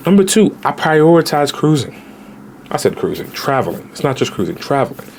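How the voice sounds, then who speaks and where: A man in his late twenties, a travel vlogger giving casual, animated budget travel advice straight to viewers, with slang. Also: a little high, fairly quick, slight background noise. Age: 20s.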